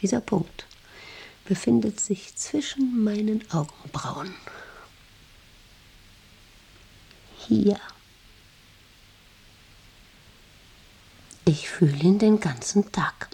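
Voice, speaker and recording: A woman's voice: mid-range voice, unhurried, gravelly, mellow. An actress playing a domineering, manic female factory director in a radio drama, with an imperious, erratic, commanding manner. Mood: controlled, intense.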